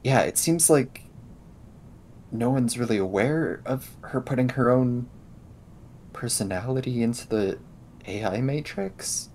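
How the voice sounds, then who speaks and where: A man improvising in-character conversation in a tabletop roleplaying game, explaining things in a hesitant, halting way. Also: medium pitch, animated, darkish tone, slight background noise.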